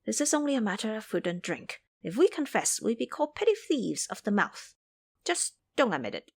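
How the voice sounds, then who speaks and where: A woman's voice: a little high, very animated, brisk, vocal fry, full character voice. A woman doing a sly crafty male disciple.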